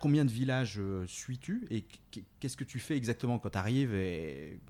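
A man's voice: medium pitch, animated, normal speed, a little mellow. A man hosting a radio interview, asking questions in a hesitant way with many fillers and restarts. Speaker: radio show host. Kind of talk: interviewing a guest.

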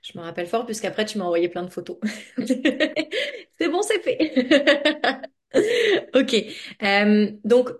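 A woman hosting a podcast interview in a casual, chatty and expressive way, speaking informally as a coach. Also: a little high, takes it slowly, pauses often. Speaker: podcast host and money coach.

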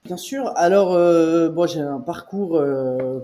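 A man in his thirties from Nice, a podcast guest explaining investing in a lively, casual way with many filler sounds, calling in remotely. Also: on the high side, takes it slowly. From France (Nice). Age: 30s. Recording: remote call, faint music behind.

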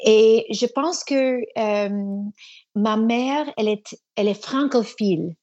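Canadian woman speaking slow, halting French. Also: a little high, a little mellow, dull audio. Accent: English-speaking Canadian. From Canada (Toronto). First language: English. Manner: halting French with many pauses and fillers. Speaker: guest singer. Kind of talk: phone or video interview. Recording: remote line from Quebec City.

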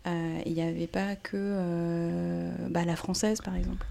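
A woman's voice: lowish voice, flat delivery, a little slow, gravelly, mellow. A young French woman speaking thoughtfully in a casual interview, hesitating often with fillers and restarts. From France. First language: Portuguese and French. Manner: reflective, many fillers and restarts. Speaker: interview guest.

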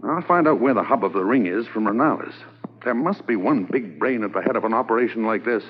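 An actor playing an undercover police corporal who poses as a millionaire, confident and lightly wry, in a 1950s radio drama. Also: male voice, on the low side, animated, fast talker, close to an everyday voice. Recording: muffled lo-fi old radio transcription with faint hiss.

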